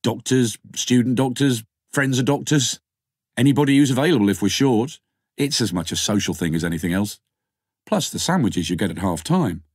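A man's voice: medium pitch, animated, moderate pace, breathy edge, dry recording, studio quality. A man voicing a keen, slightly awkward thirties male doctor in an audiobook, chatty and tentative. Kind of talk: audiobook character dialogue.

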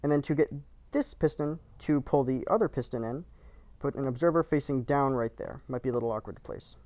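A man's voice: mid-range voice, animated, brisk, darkish tone, dry recording. A man narrating a game build tutorial in short, clipped, matter-of-fact instructions, recorded as a voice-over.

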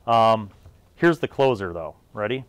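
A man's voice: on the low side, animated, unhurried, clear, resonant tone, dry recording. A middle-aged man hosting a casual review video about old gaming material, talking in a nostalgic, conversational, slangy way. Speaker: host of a video series on old gaming content.

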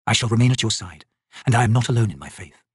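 A narrator doing a low, steadfast woman. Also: lively intonation, fast talker, husky, dry recording, studio quality, heavily acted. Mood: firm. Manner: low, quiet, firm. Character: calm, steadfast woman companion, protective.

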